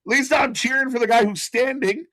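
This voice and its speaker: A man's voice: extremely high pitch, steady tone, brisk, bright, forceful tone, no room sound. A man co-hosting a live wrestling watch-along stream, wisecracking, laughing often and swearing. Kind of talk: casual live-stream commentary.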